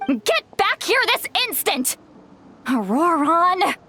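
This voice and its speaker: Game granny: elderly woman, high and very animated, hot-tempered, scolding yet caring, exasperated delivery. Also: slow pace, slight background noise, full character voice. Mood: angry scolding. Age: older. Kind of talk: video game voice acting.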